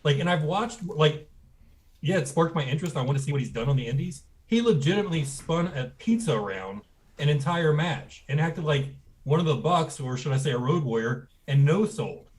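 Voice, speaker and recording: A man's voice: a little high, lively intonation, fairly quick, darkish tone. A man from Texas, co-hosting a wrestling podcast, giving blunt, opinionated rants in casual banter. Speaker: podcast co-host.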